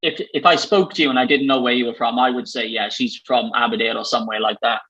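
A man with a South Wales Valleys accent hosts a relaxed conversation, wandering through his thoughts with lots of ums and likes. The recording is slightly dull and lo-fi. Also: mid-range voice, quick pace. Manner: chatty, many fillers, rambling. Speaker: host. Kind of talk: casual chat.

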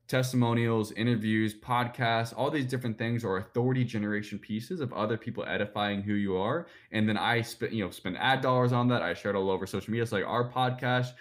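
A young man in his twenties answering interview questions about personal branding, rambling with lots of "like" and "you know". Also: on the low side. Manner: fast, rambling, filler-heavy with "like" and "you know". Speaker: guest, personal branding speaker.

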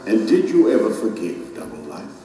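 An actor playing a majestic, stern king who judges souls in an audio drama, solemn, measured and authoritative. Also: lowish voice, sing-song, background noise. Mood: stern, grave. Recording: very echoey hall, distant, faint music behind.

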